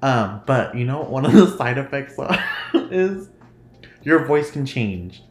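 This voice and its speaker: A man's voice: medium pitch, sing-song, takes it slowly. A man with a nasal voice hosting a casual solo podcast, rambling and laughing often. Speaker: podcast host. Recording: roomy echo, faint noise.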